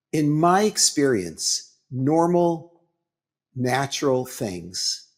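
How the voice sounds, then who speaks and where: A man giving a religious lecture as a rabbi, with halting, deliberate phrasing and emphatic pauses. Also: medium pitch.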